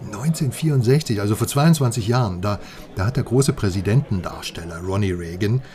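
A man acting an eager, chatty sidekick in a radio crime play. His voice sits a little low. He talks colloquially and excitably, halting for effect as he shows off his research. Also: lightly acted. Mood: eager, gossipy.

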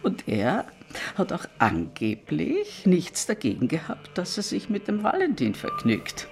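Slow, dry Austrian gossip. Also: female voice, on the low side, wildly swooping pitch, a little mellow, faint music behind, full character voice. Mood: dry, gossipy. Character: dry, knowing gossip passing on scandal. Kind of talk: naturalistic radio drama dialogue.

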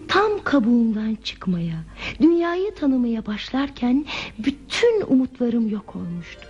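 An actress playing a lonely, withdrawn woman in a radio play, confiding her sad past in a hesitant, soft, reflective way. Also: a little high, vocal fry, full character voice. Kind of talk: Turkish radio theatre acting. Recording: muffled lo-fi radio drama with music.

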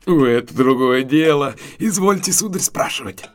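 An actor in an audio drama playing a deferential, wary but honest London cab driver, husky and halting, speaking respectfully to his betters. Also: mid-range voice, expressive, natural voice. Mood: eager, obliging.

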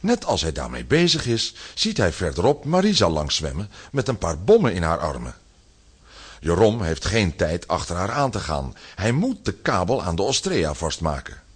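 A man's voice: low-pitched, huge pitch swings, moderate pace, husky. A man giving dramatic scripted narration as a storyteller.

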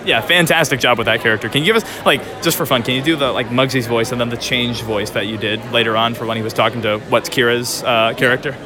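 A man's voice: medium pitch, fairly even intonation, fast, few pauses, over music. A man chatting casually as an interviewer at a convention. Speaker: podcast interviewer. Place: convention exhibition hall. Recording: busy convention crowd behind the voice.

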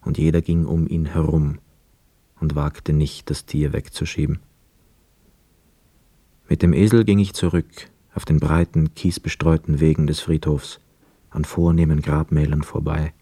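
An actor playing a brooding, hesitant ex-prisoner of war, telling his story in a measured, introspective way in a radio drama. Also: very deep voice, fairly even intonation, warm tone, slightly rough, dry recording, exaggerated voice. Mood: dreamy, sombre. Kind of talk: literary radio drama narration and dialogue. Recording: close dry studio, music behind. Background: music.

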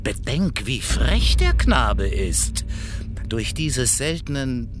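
An actor playing a servile, boastful, cowardly slave villain in a theatrical radio play, with a fawning, exaggerated delivery. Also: male voice, mid-range voice, very animated, slow pace, music in the background. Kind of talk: theatrical stage dialogue in a fairy-tale opera radio play.

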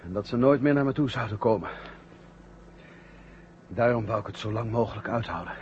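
An actor playing a weak, dazed astronaut starved of oxygen, speaking haltingly in a 1970 radio drama on a dull recording. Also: male voice, lowish voice, darkish tone, close to an everyday voice. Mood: groggy, confused. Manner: halting, repeating words. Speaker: actor in a science fiction radio serial. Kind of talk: 1970 Dutch-language radio drama acting. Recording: dull vintage radio recording with faint hum.

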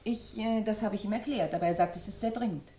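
Woman acting polite secretary, soft mellow tone, medium pitch, hesitant, deferential, 1960s radio play. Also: fast talker, close to an everyday voice. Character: polite, hesitant female secretary announcing a visitor. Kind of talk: 1960s German radio crime drama acting.

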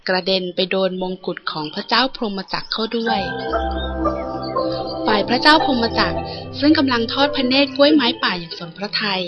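A woman narrating a scripted storybook tale as an audiobook reader. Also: mid-range voice. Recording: dull lo-fi audio with music behind. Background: music.

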